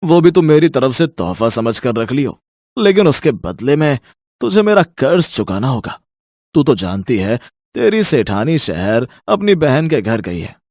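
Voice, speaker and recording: A man's voice: medium pitch, big pitch swings, unhurried, darkish tone, no room sound, studio quality. A man voicing a lecherous, bullying rich village moneylender in a cartoon story, with a leering, domineering manner. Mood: leering, sly. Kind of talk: Hindi cartoon story acting.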